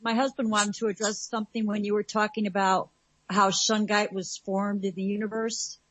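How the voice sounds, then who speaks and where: A woman's voice: mid-range voice, flat delivery, normal speed, lo-fi sound. A woman hosting a live internet radio talk show, reading station announcements and handing over to guests.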